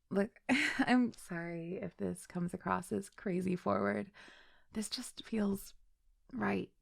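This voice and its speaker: A woman's voice: medium pitch, creaky, slightly rough, no room sound, clean studio sound, full character voice. A woman playing a chill, flirty best friend with a secret crush in an intimate audio roleplay, speaking in a giggly, hesitant, affectionate way. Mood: tender, flirty. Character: chill, flirty female best friend shyly confessing love. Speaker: voice actor.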